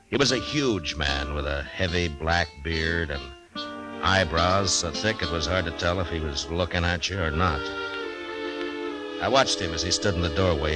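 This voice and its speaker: An actor playing a stern, steady frontier marshal, measured and terse, in a 1950s western radio drama. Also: male voice, very deep voice. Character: stern, steady frontier marshal who narrates his tale.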